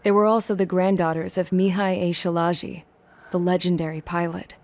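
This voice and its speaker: A woman's voice: fairly low pitch, little pitch movement, fairly quick, a little mellow, close to an everyday voice. An actress playing a tough, plain-spoken young military woman, telling a story in a blunt, casual, wry way for a game cutscene. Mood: reflective.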